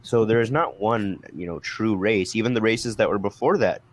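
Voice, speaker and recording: A man in a casual group debate, challenging others in a methodical, halting way on a noisy recording. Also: lowish voice.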